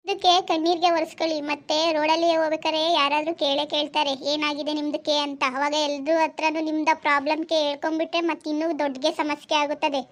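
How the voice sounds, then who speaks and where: Woman playing a cheeky neighbour, Hindi-mixed broken Kannada, high voice, quick. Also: flat delivery, lightly acted.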